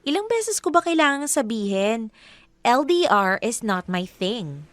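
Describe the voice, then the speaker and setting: A woman's voice: a little high, sing-song, a little slow, dry recording. A woman playing a firm, loyal young girlfriend with a Filipino accent in a radio drama. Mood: defensive.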